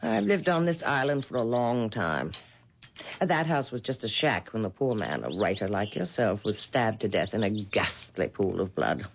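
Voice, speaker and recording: A woman's voice: very deep voice, a little hiss or hum, full character voice. An actress playing a stooped, eccentric old island woman obsessed with astrology, speaking in a theatrical, sly and foreboding way in a 1970s radio drama. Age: older. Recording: dull vintage radio broadcast.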